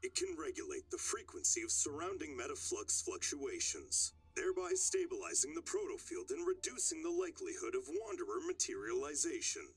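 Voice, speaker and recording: Guy doing a formal company spokesman in a story game, really animated pitch, rough husky voice, speechy delivery. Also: fairly quick, hardly any pauses, full character voice, low-quality recording. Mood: formal, promotional. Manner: formal, declamatory. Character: formal male corporate spokesperson presenting a new device. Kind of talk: acted product-launch speech.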